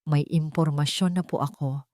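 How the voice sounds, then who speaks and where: A woman's voice: low voice, fairly even intonation, moderate pace, mellow, no echo, studio quality, lightly acted. A woman with a Filipino accent voicing a polite, hesitant male assistant reporting to his boss in an audio romance. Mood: hesitant, respectful.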